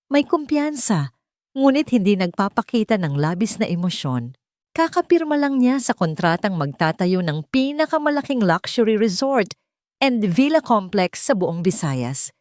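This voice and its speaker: A woman's voice: medium pitch, a little mellow, no room sound. Filipino woman narrating a dramatic story, close and dry. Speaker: audiobook narrator.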